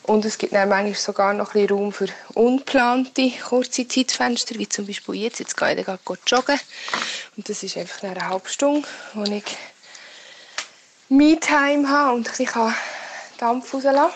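A woman's voice: fairly high pitch, moderate pace, a little hiss or hum. A woman giving a casual personal account as a parent. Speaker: parent sharing experience.

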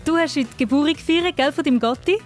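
A woman's voice: high-pitched, quick pace, soft background music. A woman with a Swiss German accent, chatting encouragingly as the host of a children's radio quiz.

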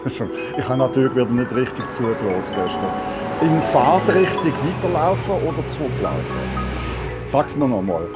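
A man's voice: mid-range voice, sing-song, fairly quick, over loud music. A man from Basel in Switzerland speaking as an interviewer, informally and conversationally.

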